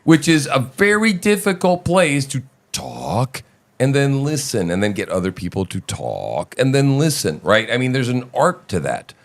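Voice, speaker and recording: A man's voice: mid-range voice, huge pitch swings, normal speed. A man hosting a live audio talk show, talking casually and emphatically, swearing freely and often asking "right?". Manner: profane, emphatic, keeps checking in with "right?".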